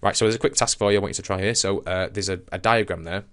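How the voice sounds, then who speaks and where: A man's voice: low-pitched, steady tone, very fast, fairly bright tone. A man teaching a science lesson in an informal, explanatory way, talking conversationally and thinking aloud as he demonstrates.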